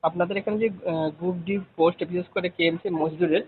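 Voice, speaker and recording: High, very fast male caller. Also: steady tone. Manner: deferential, persistent. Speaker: caller making an inquiry. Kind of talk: polite phone inquiry. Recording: lo-fi recorded phone call, hissy.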